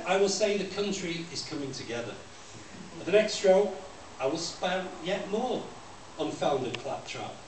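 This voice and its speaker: A man gives a measured, declamatory live reading of a poem to a room. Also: high-pitched. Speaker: poet. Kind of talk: live poetry reading, repetitive deadpan recitation. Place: small library room with audience. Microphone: microphone on stand, captured by distant camera. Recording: echoey room from far off, noisy.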